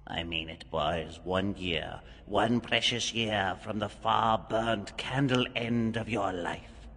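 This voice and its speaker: An actor playing a mysterious, grave bargain-maker in a dramatized audiobook, coaxing and solemn in deliberate, theatrical phrases. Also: male voice, on the low side, fairly bright tone, heavily acted. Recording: long hall reverb, music underneath.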